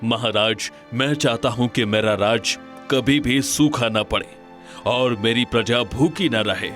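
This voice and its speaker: A man's voice: medium pitch, slow, fairly bright tone, faint music behind. A man playing an earnest, noble king in a cartoon moral story, speaking formally and respectfully.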